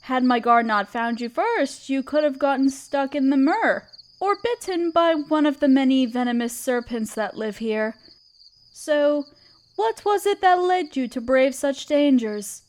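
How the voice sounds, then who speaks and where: Woman voicing a slow, high-voiced motherly spider queen. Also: animated. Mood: regal, curious. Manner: soothing, gentle, slightly formal. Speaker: audio roleplay performer. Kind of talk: scripted roleplay audio drama. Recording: crickets chirping behind the voice. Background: crickets and night insects.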